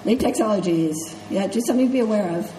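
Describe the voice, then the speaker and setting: Congested middle-aged woman, nursing instructor, low mellow voice, casual lecture in a roomy classroom. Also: lively intonation, fast, slight background noise. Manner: conversational, checks in with "okay?" and "right?". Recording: echoey classroom with faint ambience.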